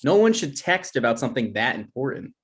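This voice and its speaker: Insecure, defensive man in his twenties played by an actor, very animated delivery, comedy table read. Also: medium pitch, a little fast, close to an everyday voice. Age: young adult. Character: insecure, defensive twenty-something boyfriend and son.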